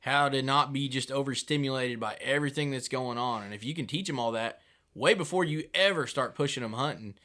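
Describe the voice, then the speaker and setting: A man in relaxed podcast conversation, agreeable and folksy in how he talks. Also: mid-range voice, a little fast. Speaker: coon hunter in a podcast conversation. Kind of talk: casual chat.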